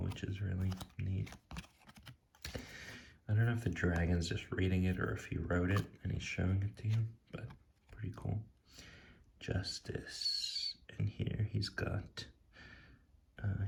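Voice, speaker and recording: A man speaking softly and casually in ASMR style, sometimes near a whisper, musing off the cuff as he reviews something. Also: low-pitched, vocal fry. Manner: hushed, sometimes dropping to a whisper; casual, laid-back musing. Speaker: tarot deck reviewer. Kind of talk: soft-spoken ASMR, impromptu commentary.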